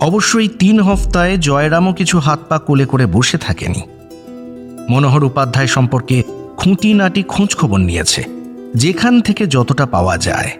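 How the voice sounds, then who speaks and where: A man narrating a scripted radio story in an expressive, dramatic manner. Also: a little high, moderate pace, soft background music.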